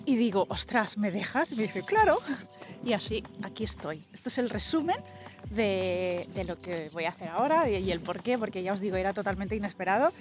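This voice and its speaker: A woman's voice: mid-range voice, lively intonation, fairly quick, over music. Chatty woman vlogging outdoors. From Spain. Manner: chatty, rambling, many asides and restarts. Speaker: vlogger and presenter. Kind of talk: casual vlog house tour. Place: outdoors in a country garden. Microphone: small camera mic held at arm's length.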